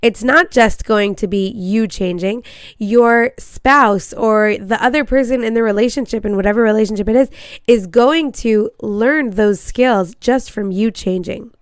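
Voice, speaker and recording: A woman's voice: on the high side, measured pace, clear, resonant tone, no room sound, studio quality. Congested woman hosting a podcast. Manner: earnest, encouraging, slightly apologetic. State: congested with a cold. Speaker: podcast host and marriage coach. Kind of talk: casual podcast monologue.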